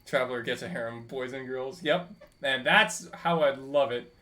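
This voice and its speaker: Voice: medium pitch, moderate pace, pushed and bright. A game streamer giving casual live commentary and chattily talking back to the characters. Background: game music.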